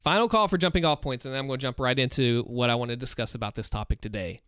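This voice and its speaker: A man's voice: medium pitch, quick pace, fairly bright tone, clean tone, no echo, clean studio sound. A man with a Southern US accent, chatting casually on a livestream, thinking aloud and encouraging viewers. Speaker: streamer. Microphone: close desk mic with headphones.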